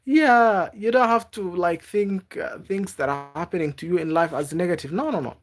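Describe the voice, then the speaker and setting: A man from Africa, not a native English speaker, chatting live with viewers and giving gentle advice, halting and repeating words. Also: high voice. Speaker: livestreamer. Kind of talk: casual live-stream chat with viewers.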